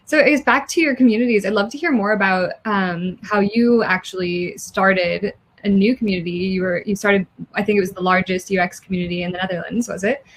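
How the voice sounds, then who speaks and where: A woman's voice: mid-range voice, brisk, slight background noise. A woman hosting an interview, asking questions in a chatty, friendly way. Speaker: interviewer host.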